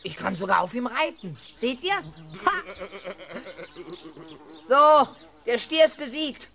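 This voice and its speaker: A man's voice: extremely high pitch, big pitch swings, takes it slowly, creaky, bright, forceful tone, heavily acted. An actor playing a boastful, eager little boy who announces grandly and bossily in a 1970s children's radio play. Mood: showy, boastful.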